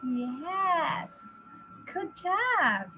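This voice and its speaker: A woman with a high, very animated voice teaches reading to small children. She goes extremely slowly, enunciating every sound with care and encouragement. Some noise sits faintly behind her. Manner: deliberate, encouraging, exaggerated enunciation. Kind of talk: phonics lesson addressed to children.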